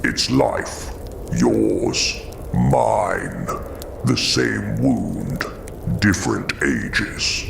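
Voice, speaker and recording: Solemn creature, slow delivery, swooping intonation, brooding, gravelly, audio drama, noisy ambience. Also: male voice, fairly low pitch, sing-song, exaggerated voice. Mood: sorrowful, solemn. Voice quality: gravelly, breathy at times. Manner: slow, solemn, terse phrases.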